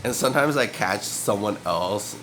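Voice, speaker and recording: A young man talks to his camera at home in a low, stuffy voice, sniffling and clearing his throat now and then. His delivery is loose and meandering, padded with fillers. A faint hum of room ambience sits behind him. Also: lively intonation, moderate pace, a bit bright, poor audio. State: sniffly with a cold and cough. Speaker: vlogger. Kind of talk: rambling casual monologue to camera. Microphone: camera mic.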